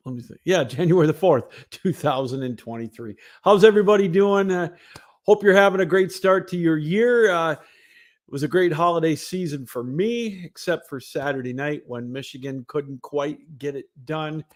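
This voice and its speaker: A man hosting a casual solo sports broadcast, talking in a rambling, conversational way. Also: a little high. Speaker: sports show host.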